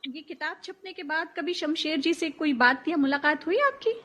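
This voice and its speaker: A woman's voice: high voice, animated, moderate pace, pushed and bright. A woman hosting a conversational radio interview, putting questions and comments to her guest. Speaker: interviewer, host.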